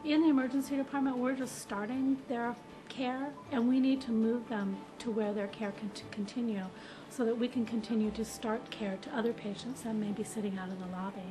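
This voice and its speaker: A woman's voice: on the high side, fairly even intonation, brisk, music in the background. A woman who works in a hospital, explaining things in a testimonial interview. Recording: clearly noisy hospital background.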